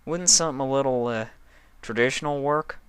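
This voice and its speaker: A man's voice: medium pitch, brisk, fairly bright tone, clear, resonant tone, no echo, clean studio sound, pitched above the performer's own voice, natural voice. A man voicing a plainspoken, uneasy female country farm pony with a hesitant, folksy manner in a story reading. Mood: doubtful.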